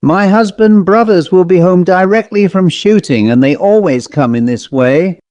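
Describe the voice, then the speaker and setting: A man voicing a cheerful, chattering married aunt in a storybook, with a brisk manner. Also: high voice, a little mellow, no room sound, pitched above the performer's own voice, close to an everyday voice.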